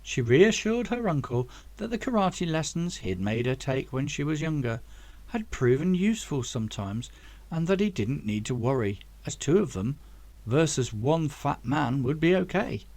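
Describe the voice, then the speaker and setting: A man's voice: a little high, sing-song, normal speed, darkish tone, no room sound. A man reading a story aloud as scripted audiobook narration, as the author of the book.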